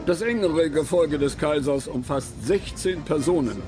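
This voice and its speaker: An actor playing a courteous guide aboard an imperial yacht, giving formal scripted narration. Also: male voice, on the high side, a little slow, music in the background, natural voice.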